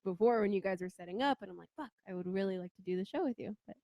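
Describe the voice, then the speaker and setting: Woman, podcast co-host, middle pitch, playful, teasing, giggly, dry close sound. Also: very fast, warm tone, dry recording. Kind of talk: casual chat. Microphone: handheld mic.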